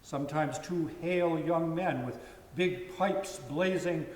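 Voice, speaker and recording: Male narrator, slow and measured, slightly high, roomy church reverb. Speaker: reader. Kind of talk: dramatic reading of a story. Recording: echoey hall from far off.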